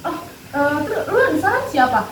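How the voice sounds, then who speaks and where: A woman's voice: very high voice, very animated, brisk. A young woman talking in casual slang, chatty and teasing, asking a string of questions in a student skit. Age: young adult. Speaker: student acting a scam victim. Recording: echoey, far off, loud noise.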